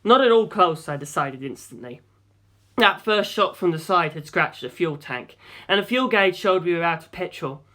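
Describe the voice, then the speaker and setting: A man's voice: high voice, animated, normal speed, bright, forceful tone. A man reading a first-person story aloud as an audiobook narrator.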